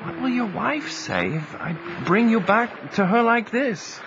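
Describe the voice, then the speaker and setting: A man's voice: sits high, expressive, measured pace, background noise. An actor playing a worried male friend who pleads urgently with another man. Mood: concerned. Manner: urgent and imploring. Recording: dull lo-fi audio with clear music.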